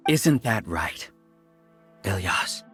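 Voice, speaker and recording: A man's voice: sits low, very animated, very slow, fairly bright tone, breathy edge, heavily acted. A man playing an arrogant scholar villain in a video game, lecturing in a smug, theatrical manner like a grandiose mastermind. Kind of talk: dramatic video game voice acting. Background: background game music.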